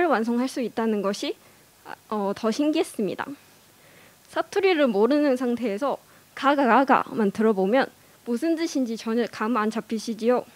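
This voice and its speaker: A young woman giving a prepared speech in a learned language, careful and earnest, with small hesitations. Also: on the high side. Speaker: speech contestant.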